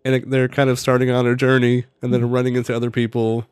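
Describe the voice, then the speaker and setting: Fast, chatty male podcast co-host, casual rambling delivery, dry close-miked sound. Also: medium pitch, completely flat delivery, darkish tone, clear, resonant tone, dry recording. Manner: chatty, rambling, laughs often. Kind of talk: casual chat reviewing a TV series.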